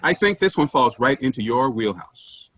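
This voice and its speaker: A man's voice: medium pitch, animated, normal speed, a bit bright, slightly rough, dry recording. A man acting an overbearing, self-important general manager in an argumentative way, in a staged play reading. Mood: sarcastic, commanding. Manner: argumentative, pompous.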